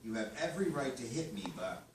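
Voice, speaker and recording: An actor reading the part of a critical culinary teacher at a script reading, with a dry, pointed delivery. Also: male voice, mid-range voice, very animated, quick pace, close to an everyday voice. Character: critical male culinary teacher, blunt and probing. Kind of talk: script table read. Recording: echoey room, far mic, noise.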